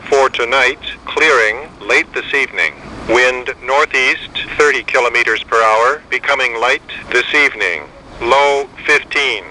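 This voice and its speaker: A man announces a weather forecast in a very bright, forceful voice at middle pitch. His delivery is measured and impersonal, broken into short list-like items. There is faint noise on the radio capture. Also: poor audio. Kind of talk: scripted weather forecast bulletin read-out.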